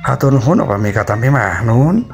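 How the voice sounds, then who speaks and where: Folktale ant queen, played by a male storyteller: raised pitch, courteous, ceremonious, deferential. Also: lively intonation, fairly quick, gravelly. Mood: humble, courteous. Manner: formal, humble, respectful address. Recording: music behind the voice.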